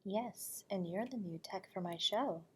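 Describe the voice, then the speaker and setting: A woman playing an eerie, nocturnal horror radio host from beyond the grave, speaking to the listener in a soft, breathy, whispery voice, courteous and teasing, with frequent chuckles. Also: medium pitch, unhurried, a little hiss or hum, close to an everyday voice. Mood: silky, teasing. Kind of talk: audio roleplay addressing the listener.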